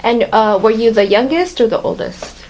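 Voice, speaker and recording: A woman conducting an oral history interview, asking questions and answering with short, gentle acknowledgements. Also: on the high side, expressive, normal speed, slight background noise, low-quality recording.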